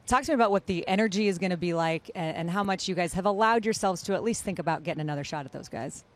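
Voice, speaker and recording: A woman's voice: lowish voice, fast. A woman hosting a TV sports interview, asking chatty, upbeat, long-winded questions. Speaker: TV sports host.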